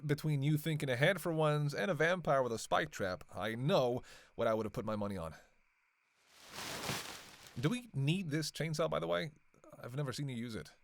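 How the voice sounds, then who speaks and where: A man's voice: on the high side, moderate pace, creaky, long pauses. A man playing a sarcastic, teasing vampire in a casual roleplay monologue, needling playfully and hesitating as he goes. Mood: teasing, snarky, then sardonic nitpicking. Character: sarcastic, teasing male vampire on a road trip.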